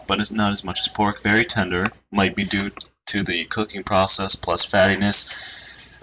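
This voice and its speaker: A man streaming, chatting casually and reading posts aloud in a dry, monotone way. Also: low-pitched. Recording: noisy home stream recording.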